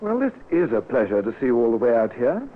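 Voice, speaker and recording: A cornered, slippery villain in a radio crime play. He talks quickly with very mobile pitch. The old recording is narrow and noisy. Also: very animated. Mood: falsely genial. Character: smooth-talking, cornered male murder suspect. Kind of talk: old-time radio acting.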